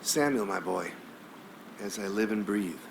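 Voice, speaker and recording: An actor playing a courtly, weary old newspaperman in a video game, speaking in a measured, avuncular way with florid phrasing. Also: fairly low pitch, animated, creaky, noisy background. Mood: fond, reflective.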